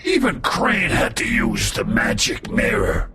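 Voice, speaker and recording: A man's voice: mid-range voice, wildly swooping pitch, a little slow, vocal fry, pushed and bright, a little hiss or hum, full character voice. An actor playing a brash, foul-mouthed male thug who sneers and taunts in a video game. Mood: pained, defiant. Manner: taunting, swearing, jeering.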